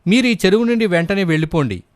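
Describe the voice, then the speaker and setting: A man's voice: high-pitched, lively intonation, fast talker, no echo, studio quality, voice pushed up. A man voicing a kind crocodile in a children's story, with an urgent, earnest manner. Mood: urgent warning.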